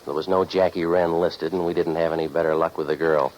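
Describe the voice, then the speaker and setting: An American actor playing a sardonic, hard-boiled private eye who narrates his case deadpan in 1940s radio noir style. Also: low voice, fast talker, lightly acted. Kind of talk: 1940s radio noir acting, scripted first-person narration. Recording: muffled, noisy 1940s radio recording.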